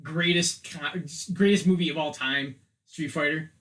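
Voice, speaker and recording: A man's voice: on the high side, steady tone, a little slow, a bit bright. A man hosting a casual film and game podcast, talking in a rambling, profane way full of joking comparisons. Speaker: podcast host. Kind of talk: casual chat about films and video games.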